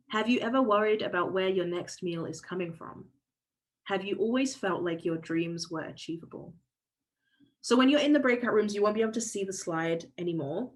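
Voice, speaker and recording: A woman facilitating an online workshop over a video call, speaking thoughtfully with many fillers and restarts. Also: mid-range voice.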